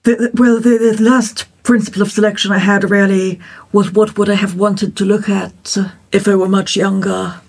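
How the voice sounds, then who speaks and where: A poet speaking as a panel guest in a measured, deliberate way, pausing often. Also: mid-range voice, level tone, gravelly, darkish tone, husky. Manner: measured, deliberate, many pauses with "uh". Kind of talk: panel discussion.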